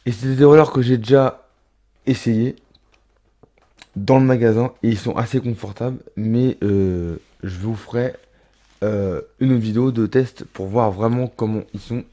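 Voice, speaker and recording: Casting: thirty-year-old male vlogger, warm low-key tone, rambling friendly delivery with lots of "euh". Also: a little slow. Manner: chatty, many fillers and restarts, addresses viewers as little rabbits. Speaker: roller skating vlogger. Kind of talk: casual unboxing vlog. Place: home studio.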